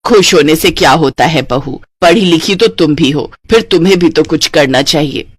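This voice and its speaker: A woman's voice: low voice, expressive, normal speed, breathy edge, dry recording, pitched below the performer's own voice. A woman voicing a bossy, nagging middle-aged mother-in-law in a cartoon story.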